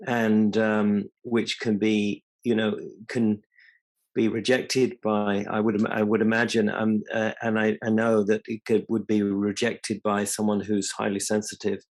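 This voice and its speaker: A man's voice: on the low side, completely flat delivery, unhurried. A man asking a question in a rambling, hesitant way, full of fillers and restarts. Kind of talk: casual discussion.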